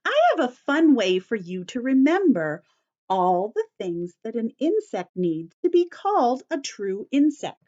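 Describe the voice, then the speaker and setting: A woman teacher giving a story-time lesson to young children, explaining in simple, deliberate phrases and repeating key points. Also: on the high side, very animated, a little mellow, muffled recording.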